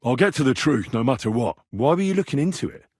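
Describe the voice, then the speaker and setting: A man's voice: medium pitch, expressive, fast talker, breathy edge, dry recording, clean studio sound. A man playing a stubborn, earnest young man in a video game, speaking plainly and probingly as he investigates. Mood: determined, probing. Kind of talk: video game character dialogue.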